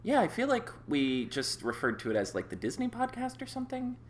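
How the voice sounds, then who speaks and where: A young man co-hosting a podcast, projecting loudly in a playful casual chat, his voice a little worn. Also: sits high, huge pitch swings, brisk, slight background noise. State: slightly worn voice. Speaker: podcast co-host. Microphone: desktop USB microphone.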